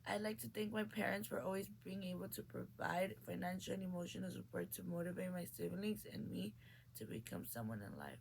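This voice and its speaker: Earnest teenage girl with a gravelly edge. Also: medium pitch, slight background noise. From Mexico. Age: late teens. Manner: earnest, reads with small restarts. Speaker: graduating high school student. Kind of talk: reading a prepared personal speech.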